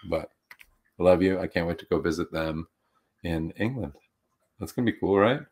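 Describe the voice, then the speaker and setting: A man's voice: deep voice, normal speed, a little mellow, slightly rough. A man co-hosting a livestream talk show, chatting casually and rambling with lots of fillers. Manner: rambling, filler-heavy, upbeat. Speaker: co-host.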